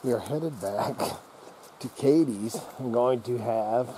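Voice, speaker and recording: A man's voice: medium pitch, takes it slowly, noisy background. A man rambling casually as he films himself walking through mountain woods, often sidetracked. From Idaho. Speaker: outdoor vlogger. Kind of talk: casual running commentary while hiking. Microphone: handheld camera.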